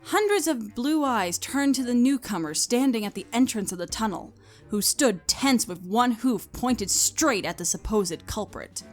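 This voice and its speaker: A woman's voice: on the high side, lively intonation, unhurried. A woman reading scripted story narration as the narrator. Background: music.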